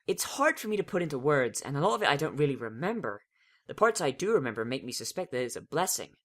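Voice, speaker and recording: Expressive, theatrical fan fiction narrator. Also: mid-range voice, fast talker. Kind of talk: dramatic audiobook-style narration of fan fiction.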